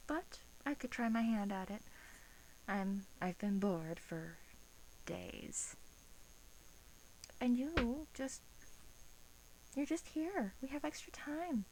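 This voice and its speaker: A woman playing a bored, teasing vampire guard in a soft-spoken ASMR roleplay, coaxing in hesitant, drawn-out phrases with an undertone of menace. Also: fairly high pitch, expressive, rough recording. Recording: faint background hiss.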